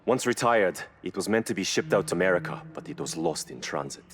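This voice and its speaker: An actor playing a knowledgeable, formal classic car expert in video game cutscene dialogue. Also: male voice, low voice, animated, slight background noise. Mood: reflective. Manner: measured, formal, reverent about cars.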